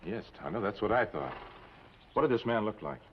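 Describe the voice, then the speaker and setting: An actor playing a calm, serious, authoritative hero in an old television western, speaking articulately. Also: male voice, lowish voice, fairly quick, natural voice. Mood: concerned. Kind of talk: 1950s television western acting. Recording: muffled, noisy old TV western soundtrack.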